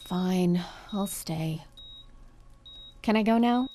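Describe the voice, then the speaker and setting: An actress playing a jaded, flippant adult daughter in an audio suspense drama, sarcastic and impatient. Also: female voice, lowish voice, very slow, dark tone, slight background noise. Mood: sullen, impatient.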